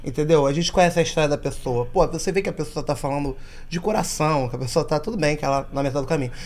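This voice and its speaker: High-voiced man, slangy podcast host. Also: fast talker, a little mellow. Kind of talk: casual bantering chat.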